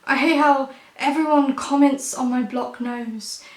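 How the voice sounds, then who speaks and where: Croaky-voiced young woman, roomy. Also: sits high, steady tone, unhurried, distant mic. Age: 20s. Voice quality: croaky, blocked-nose voice. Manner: wry, self-mocking, punchy delivery. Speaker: spoken word poet. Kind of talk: spoken word poetry performance.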